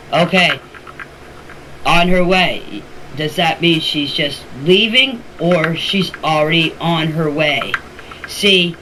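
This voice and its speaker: An older woman talking informally in voice messages to a group chat, emphatic, opinionated and repeating herself. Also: fairly low pitch. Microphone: phone voice message. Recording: noisy amateur voice message recording.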